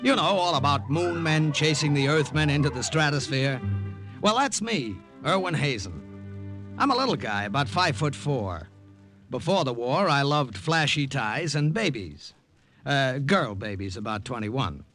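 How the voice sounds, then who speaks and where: An actor playing a wisecracking little American artist turned spy, narrating in a chatty, self-mocking way in a 1950s radio drama. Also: male voice, medium pitch, big pitch swings, measured pace, fairly bright tone, soft background music. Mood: wry, chatty.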